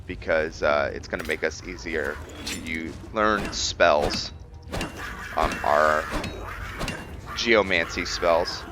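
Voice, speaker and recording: Low-voiced, slow-talking man with bright edge. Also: music in the background. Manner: halting, thinking aloud. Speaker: gameplay host and role-player. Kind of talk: casual game commentary explaining character lore.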